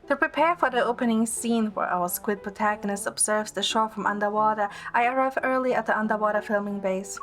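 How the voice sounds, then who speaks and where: A woman's voice: mid-range voice, a little fast, hardly any pauses, a bit bright, soft background music. A woman reading scripted first-person narration and dialogue as the heroine of an audio drama. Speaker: audio drama narrator, also playing the young producer heroine.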